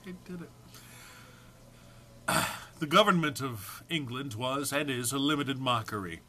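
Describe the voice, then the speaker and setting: A man's voice: medium pitch, expressive, measured pace, bright, forceful tone. A man giving a theatrical, amused dramatic reading of a humorous written text, with emphatic phrasing. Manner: amused, prone to breaking into laughter. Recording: faint background noise.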